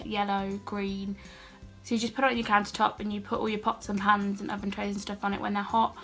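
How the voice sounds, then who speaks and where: A young British woman talking through a cold, her voice a little croaky and her pitch fairly flat. She chats in a relaxed, self-deprecating way, full of fillers and side remarks, with faint music in the background. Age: young adult. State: has a cold, a bit croaky. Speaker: beauty and haul vlogger. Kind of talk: casual haul vlog chat.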